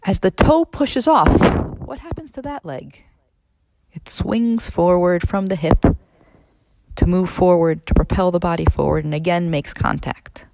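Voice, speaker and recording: Low-voiced woman guiding a meditation. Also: vocal fry, darkish tone, slight background noise, low-quality recording. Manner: measured, instructional, with pauses. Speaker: neurologist leading a guided meditation. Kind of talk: guided meditation narration.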